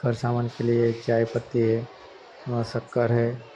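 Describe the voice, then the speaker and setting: A man from India, narrating a casual shopping vlog with hesitant, halting phrasing. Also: medium pitch, monotone, warm tone. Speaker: vlogger. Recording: dull lo-fi audio with background noise.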